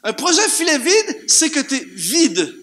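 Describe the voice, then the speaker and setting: Animated Canadian French preacher, high bright voice. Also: male voice, a little slow. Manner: animated, repeats words for emphasis, rhetorical questions. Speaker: guest preacher. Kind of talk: lively sermon. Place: church, before a congregation.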